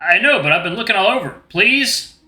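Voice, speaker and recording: A man's voice: high-pitched, lively intonation, fairly quick, sharp tone, voice pushed up, exaggerated voice. A man voicing a timid, worried male gray wolf in a visual novel, hesitant, apologetic and pleading. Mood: nervous, pleading. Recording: roomy echo with music behind.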